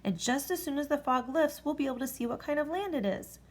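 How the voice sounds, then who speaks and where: A woman's voice: high voice, lively intonation, normal speed, slightly rough, voice pushed up. A woman voicing a brave, cheerful young boy adventurer, speaking eagerly.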